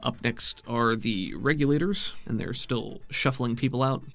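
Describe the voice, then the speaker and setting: A man with a mid-pitched voice acts as game master for a tabletop game. He describes scenes like a storyteller and explains the rules conversationally, with faint music underneath. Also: normal speed, creaky, fairly bright tone.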